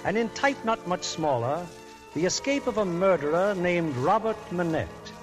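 High-voiced radio narrator over music. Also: male voice, animated, moderate pace. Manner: crisp, formal, dramatic. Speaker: narrator and announcer. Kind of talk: scripted narration.

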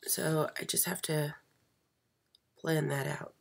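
This is a woman hosting a live craft stream while losing her voice. She speaks slowly and a bit low, with a gravelly fry. She hesitates often and fills the pauses with ums. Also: level tone. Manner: slow, hesitant, many fillers, soft. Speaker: craft channel host. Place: craft table indoors. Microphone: overhead camera, hands only.

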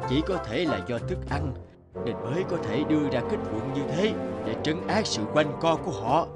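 A man's voice: mid-range voice, close to an everyday voice. A man playing a stern old village healer in a cartoon, scolding and lecturing in a didactic manner. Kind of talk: dubbed cartoon dialogue.

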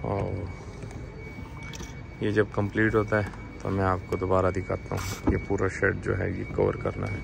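A young man with a low, gravelly voice talks through a casual vlog in an easygoing, explaining way. He is on an outdoor city rooftop and films with a phone. Traffic and street noise are clearly heard behind him. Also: a little slow, long pauses. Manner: relaxed, explains while asking questions. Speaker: farm channel host.

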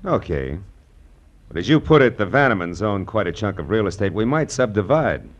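A man's voice: lowish voice, very animated, moderate pace. An actor playing a tough, sardonic male private detective in a 1950s radio drama, with clipped wisecracks. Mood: wry. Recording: muffled, noisy vintage radio recording.

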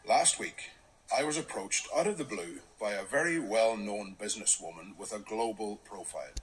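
An actor in a radio drama playing an earnest male local councillor with a deliberate, formal way of speaking. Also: on the low side, expressive, lightly acted, poor audio. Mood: solemn, indignant. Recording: faint noise, played back through a speaker.

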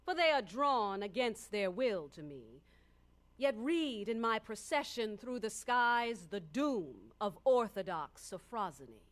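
A middle-aged woman performing an ominous, majestic star spirit in a deliberate, incantatory verse reading. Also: a little high, lively intonation. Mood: solemn warning. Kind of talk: formal dramatic verse reading. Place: concert stage in a radio performance hall. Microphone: close studio mic on boom.

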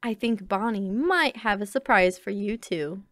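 A woman's voice: medium pitch, measured pace, fairly bright tone, dry recording, clean studio sound, close to an everyday voice. A woman voicing a friendly, encouraging female librarian in a children's picture book, with a kindly, inviting manner. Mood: pleased.

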